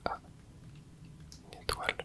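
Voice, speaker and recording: Rambling whisperer chatting over a video game. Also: measured pace. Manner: rambling, hesitant, many fillers and restarts; soft unvoiced whisper throughout. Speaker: ASMR gaming creator. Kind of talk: whispered ASMR gameplay commentary.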